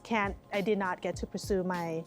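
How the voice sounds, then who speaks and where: A woman's voice: lowish voice, fairly even intonation, moderate pace, faint music behind. A woman from Thailand with a Thai accent, a guest chef walking through a recipe step by step as she cooks. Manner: explains step by step while cooking, light laughs. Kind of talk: cooking demonstration. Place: restaurant bar kitchen.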